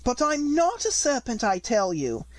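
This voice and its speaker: A little storybook girl, as a woman reader puts her on, with a raised, lively pitch. She is polite and earnest, puzzled by things and quick to protest indignantly, hesitating when unsure. The recording is dry. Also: dry recording.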